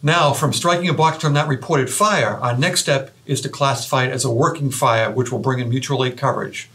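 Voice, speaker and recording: A man's voice: mid-range voice, brisk, fairly bright tone. A man in his fifties presenting a training video, explaining directly to trainees. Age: 50s. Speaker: fire lieutenant, training presenter. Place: indoor office room, facing camera. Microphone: lapel.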